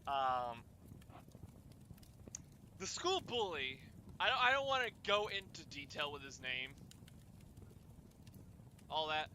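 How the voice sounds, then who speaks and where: A young man telling personal stories casually, in a halting way with repeated words and restarts. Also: a little high, sing-song, bright, forceful tone, a little hiss or hum. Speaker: storyteller and host of a group chat.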